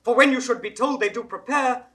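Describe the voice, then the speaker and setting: A man's voice: extremely high pitch, a little fast, pushed and bright. A man acting an urgent royal messenger in a classical Shakespeare audio drama, with formal theatrical delivery. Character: urgent messenger bringing grim news to his king. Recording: distant from the microphone.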